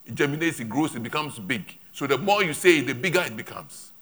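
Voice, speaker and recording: Male preacher, bright forceful tone, emphatic repetitive phrasing, church sermon. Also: mid-range voice, animated, husky. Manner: emphatic, repetitive, pauses for interpretation. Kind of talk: sermon with an interpreter.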